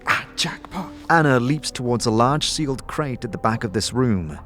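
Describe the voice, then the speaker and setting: Expressive male fantasy narrator over soft music. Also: medium pitch, normal speed. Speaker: narrator and game master. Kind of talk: scripted second-person fantasy narration.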